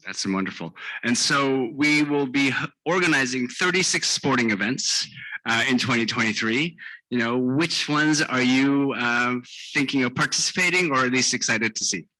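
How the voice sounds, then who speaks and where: A man's voice: medium pitch, animated, moderate pace, bright, forceful tone, poor audio. A man hosting a press briefing, putting questions to guests in a polite, informal, slightly hesitant way. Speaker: event host and organizer.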